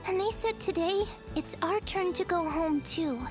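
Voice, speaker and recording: Earnest game child, tiny very high voice, unsure, faint music. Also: female voice, a little slow. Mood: earnest, wistful. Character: gentle, unsure little girl in a fantasy game.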